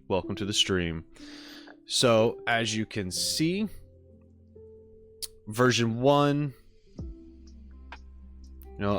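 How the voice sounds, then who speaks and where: A man's voice: lowish voice, a bit bright. A man live streaming, talking casually and thinking aloud in an easygoing, rambling way. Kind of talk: casual livestream chat. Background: background music.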